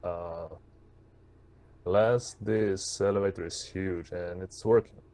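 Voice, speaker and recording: A man's voice: deep voice, steady tone, slow, mellow. A man playing a tabletop game, talking casually and tentatively as he asks questions.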